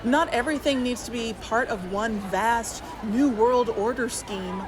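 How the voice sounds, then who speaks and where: An actress playing an exasperated, level-headed skeptical young investigator in an audio drama. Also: female voice, a little high, unhurried, lightly acted. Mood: conceding, weary. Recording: clear noise and crowd bustle. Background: busy outdoor crowd.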